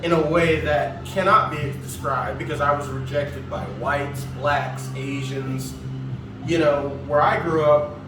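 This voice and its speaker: A man speaks as a podcast guest, earnest and reflective, with casual swearing. His voice sits a little high. He sounds a bit off-mic in a reverberant room while music plays underneath. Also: steady tone, unhurried. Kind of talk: candid conversation. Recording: echoey room, far from mic, music behind.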